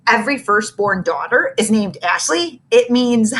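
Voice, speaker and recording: A woman's voice: on the high side, expressive, a little slow, fairly bright tone, breathy edge, full character voice. A woman reading aloud, voicing a cocky fourth-grade boy who teases in a smug way. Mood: mocking. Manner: teasing, smug.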